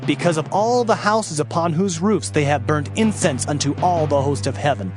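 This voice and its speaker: A man's voice: fairly high pitch, normal speed, lightly acted. A man playing an impassioned prophet who cries out warnings in a dramatized scripture reading, declaiming with fervor. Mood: dire warning. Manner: fervent, declamatory, proclaiming. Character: Jeremiah, impassioned male prophet crying out warnings. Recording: prominent music bed behind voice.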